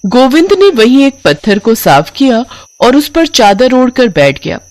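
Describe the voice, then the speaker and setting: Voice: medium pitch, big pitch swings, a little slow, darkish tone, no room sound. A narrator telling a moral story in a scripted storytelling style.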